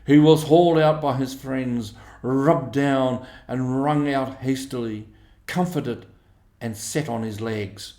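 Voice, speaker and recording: In a roomy, echoing space, a man reads a storybook aloud. His delivery is slow and measured, with expressive storytelling and a medium-pitched voice. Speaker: audiobook reader. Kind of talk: scripted storybook narration.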